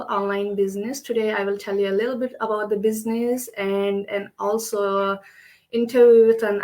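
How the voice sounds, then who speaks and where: A woman's voice: medium pitch, darkish tone. A young woman from Kashmir hosting a casual live-stream interview over a video call, chatty and full of "you know". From Kashmir, India. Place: home, with her small son nearby.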